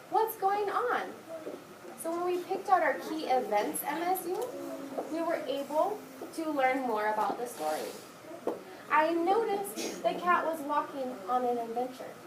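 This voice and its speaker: A woman teaching young children in a classroom, giving short, directive instructions and praise. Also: sits high. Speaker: elementary school teacher. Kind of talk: classroom read-aloud lesson addressed to young children. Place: indoor classroom. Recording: roomy, distant classroom recording with clear background noise.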